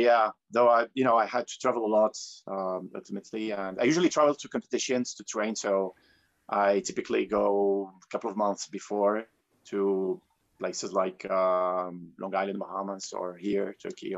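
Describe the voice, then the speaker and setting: Male interview guest from Tunisia. Deep-ish, heavy fry, halting and reflective delivery, lo-fi call sound. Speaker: guest, professional free diver. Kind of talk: conversational interview answers. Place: remote online call. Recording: dull lo-fi online call.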